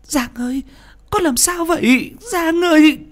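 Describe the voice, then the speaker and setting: A man doing a wailing old mother. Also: a little slow. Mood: pleading. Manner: wailing, pleading, high strained voice. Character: desperate weeping old mother.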